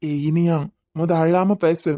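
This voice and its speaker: A man playing a young man secretly in love, speaking softly and poetically. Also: a little high, flat delivery, slow. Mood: soothing. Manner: soft, tender and poetic. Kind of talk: romantic audio drama acting.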